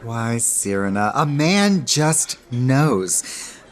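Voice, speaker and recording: A man playing an earnest, romantic young gentleman in love, in a radio verse drama. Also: mid-range voice, big pitch swings, drawn-out words. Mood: earnest, anxious. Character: lovestruck earnest young gentleman confiding in a friend. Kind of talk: radio theatre acting in verse.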